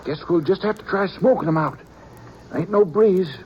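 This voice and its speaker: A practical frontier lawman, played by a man speaks in a plain, laconic way. His voice is raised in pitch and a little distant from the mic, with the dull sound of an old radio broadcast. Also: normal speed, a little mellow, slight background noise, lightly acted. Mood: steady. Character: steady male lawman partner, practical. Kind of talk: 1950s radio acting.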